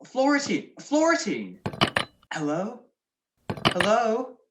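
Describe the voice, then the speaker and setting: An actor playing a grumpy, wisecracking married gentleman sleuth with sardonic wit in a radio comedy-mystery. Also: high-pitched, huge pitch swings, slow pace, background noise, full character voice. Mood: grouchy, sleepy.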